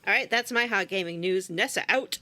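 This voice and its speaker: A woman's voice: mid-range voice, fairly quick, pushed and bright, dry recording. A woman co-hosting a gaming podcast, delivering news in a matter-of-fact, wry way. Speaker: podcast co-host. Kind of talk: casual chat, news segment.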